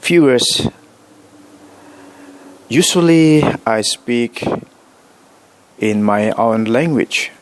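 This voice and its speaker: A middle-aged man from Indonesia speaking English with an Indonesian accent, addressing his vlog viewers in slow, halting sentences full of pauses and self-corrections. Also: mid-range voice, expressive, rough recording. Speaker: vlogger. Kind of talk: direct-to-camera vlog address.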